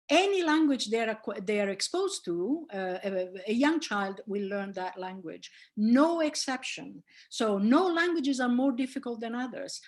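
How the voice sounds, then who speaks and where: Woman, professor, public lecture, explanatory, fillers, fairly high-pitched. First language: Italian. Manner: explanatory, with frequent fillers and restarts. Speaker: linguistics professor.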